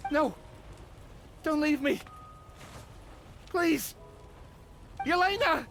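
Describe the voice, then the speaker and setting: A man's voice: extremely high pitch, extremely slow, a bit bright, a little hiss or hum, full character voice. An actor playing a guilt-ridden man in a video game drama, grieving for his lost sister. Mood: desperate pleading. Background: game music behind the voice.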